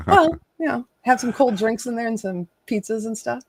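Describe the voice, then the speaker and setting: Animated, teasing woman stream host. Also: on the high side, normal speed. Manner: bubbly, laughs often, teasing. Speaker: livestream host. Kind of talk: casual live-stream chat.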